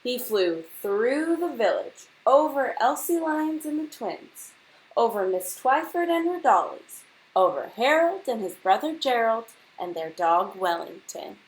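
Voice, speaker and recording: A woman reading a children's storybook aloud like a teacher to a class, expressively and deliberately, putting questions straight to her young listeners. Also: a little high, sing-song, low-quality recording.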